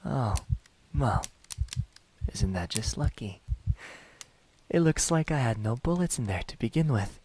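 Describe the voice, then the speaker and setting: A man playing a smug, menacing young demon hunter in an ASMR roleplay, taunting softly and deliberately, often close to a whisper. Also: mid-range voice, expressive, a little hiss or hum. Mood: wry, relieved. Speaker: amateur voice actor.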